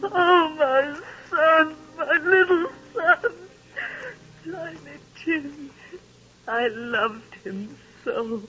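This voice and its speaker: A woman's voice: sits high, extremely slow, sharp tone, heavily acted. A woman playing a tender, grieving mother, tearful, in a muffled 1930s radio drama. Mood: weeping grief. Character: grieving, tender working-class mother and wife.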